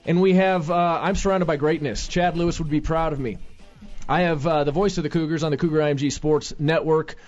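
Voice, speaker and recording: A man's voice: on the high side, fairly even intonation, fairly quick, a little mellow. A man hosting a sports radio show, talking energetically with many fillers and restarts. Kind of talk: casual sports radio talk. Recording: lo-fi radio studio sound.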